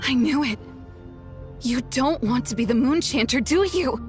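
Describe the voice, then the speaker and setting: An actress playing a proud, bitter young renegade in a video game, with a cutting, dramatic delivery. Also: female voice, high-pitched, unhurried, soft background music. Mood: accusing, shocked. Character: proud, bitter young woman who deserted her clan. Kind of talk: video game character dialogue.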